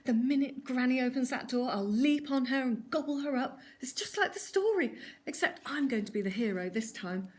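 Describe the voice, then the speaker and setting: Woman putting on an excitable bumbling wolf. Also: normal speed. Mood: eager. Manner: excitable, squeaky when scared. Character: eager, bumbling young male wolf.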